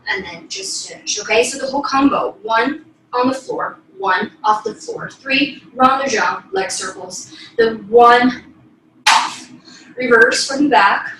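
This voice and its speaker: A woman leads a ballet class, cueing and counting while she demonstrates. Her voice is fairly high and unhurried. It reaches a distant mic in a room with very heavy echo. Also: noisy background. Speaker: ballet teacher. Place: small bedroom, indoors. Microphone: far camera across the room. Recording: very echoey room, distant mic, music behind. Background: classical piano music.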